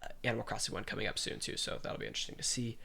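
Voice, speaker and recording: This is a young man with a stuffy, congested voice, chatting animatedly as he hosts a podcast. His voice has a gravelly fry running through it, and he moves from casual banter to reading gaming news aloud. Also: fairly low pitch, very animated, very fast, sharp tone. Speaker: podcast host.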